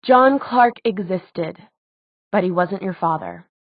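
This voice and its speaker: A woman voicing a gentle, weary man in an audiobook, speaking in a quiet, measured, apologetic way. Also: on the low side, expressive, dry recording, highs cut off. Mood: explaining. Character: gentle, weary adult man, guardian figure.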